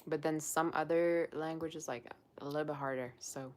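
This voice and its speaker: A woman's voice: low voice, steady tone, moderate pace, darkish tone. A young woman, a psychic tarot reader, chatting casually on a livestream and reading viewer comments aloud. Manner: chatty and rambling, with many "like" fillers.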